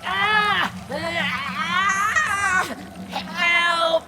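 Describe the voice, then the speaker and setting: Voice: very high voice, extremely slow, exaggerated voice. An actor playing a scheming, needy worm creature in a video game, theatrical and wheedling, speaking in clipped broken phrases. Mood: agonized, pleading. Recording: loud music underneath. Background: music.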